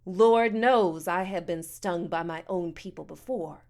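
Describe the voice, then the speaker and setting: A woman's voice: lowish voice, unhurried, a little mellow. A woman voicing a haughty, self-righteous foster mother in an audiobook, with stilted, formal diction and a pompous manner. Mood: indignant, haughty. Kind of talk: audiobook character dialogue.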